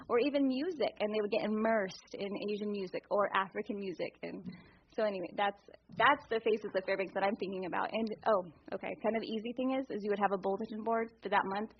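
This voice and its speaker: A woman's voice: medium pitch, slight background noise. A woman at a committee meeting, laying out her ideas with enthusiasm and many fillers, recorded in a meeting room. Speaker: committee member. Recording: dull lo-fi recording.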